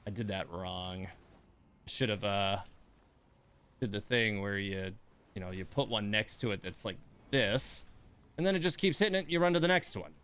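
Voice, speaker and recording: A man's voice: on the low side, normal speed, pauses often, fairly bright tone, clean tone. A man livestreaming a game, thinking aloud in casual commentary and muttering complaints and coaching to himself.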